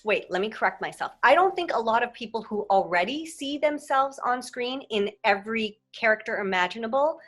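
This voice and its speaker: A woman's voice: on the high side, expressive, a little fast, hardly any pauses, soft background music. A woman actor hosting a casual interview, chatty and telling stories from her own auditions. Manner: warm, chatty, storytelling. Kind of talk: casual conversation.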